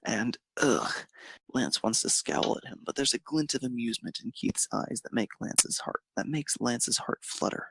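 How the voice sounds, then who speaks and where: A man with a creaky, husky voice narrating a romantic story expressively. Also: mid-range voice, animated, normal speed, a bit bright, no room sound.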